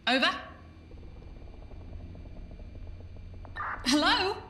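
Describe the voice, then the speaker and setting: A woman's voice: very high voice, animated, brisk, sharp tone, a little hiss or hum, heavily acted. A woman playing a bold, exasperated paranormal investigator in an audio drama, emphatic and outspoken. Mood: urgent. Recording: echoey room, distant mic, faint music.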